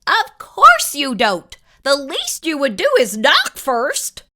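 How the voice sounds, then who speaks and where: A woman acts out a grumpy male pig who writes storybooks and hates being bothered. The voice is high and bright, with wildly swinging pitch. It scolds slowly and emphatically, full of indignation. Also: huge pitch swings, exaggerated voice. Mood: indignant. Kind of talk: animated children's read-aloud character voice.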